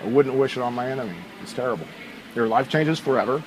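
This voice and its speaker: Earnest man interviewed amid clear background noise. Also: medium pitch, fast talker. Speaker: interviewee, bereaved father.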